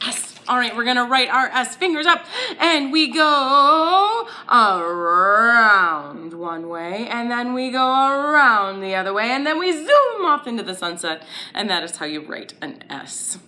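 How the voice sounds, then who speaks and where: A woman's voice: fairly high pitch, sing-song, unhurried, bright, forceful tone. A woman hosting a children's storytime lesson, speaking in simple short phrases and questions with a playful, exaggerated singsong delivery. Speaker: children's storytime host and teacher. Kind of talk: children's lesson, interactive and instructional.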